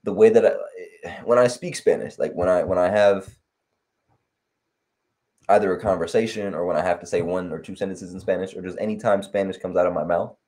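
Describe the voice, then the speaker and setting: A young man from Los Angeles in casual chat on a video call, relaxed and rambling with lots of fillers. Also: low voice, fairly quick. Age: young adult. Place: home room on video call. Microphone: headset on video call.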